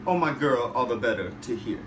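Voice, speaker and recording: Man as sly hungry wolf, coaxing, theatrical, storybook, animated pitch, distant noisy room recording. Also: a little fast, echoey room, natural voice. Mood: falsely sweet.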